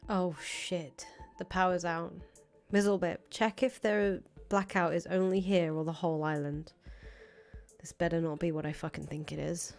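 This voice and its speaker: A woman's voice: on the low side, fairly even intonation, moderate pace, soft background music, close to an everyday voice. A woman voicing a brash, foul-mouthed young female rebel leader in a visual novel, blunt, bossy and terse. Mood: tense, annoyed. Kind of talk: acted visual novel dialogue.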